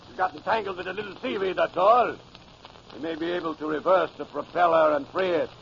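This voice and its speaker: Casting: haughty German U-boat captain, high gravelly voice, swooping contemptuous barks, vintage radio play. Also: male voice, very animated, brisk, fairly bright tone, heavily acted. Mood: furious, scornful. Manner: imperious, barking, contemptuous. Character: arrogant, short-tempered male German submarine captain. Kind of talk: 1950s radio drama acting. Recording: noisy, muffled vintage radio recording.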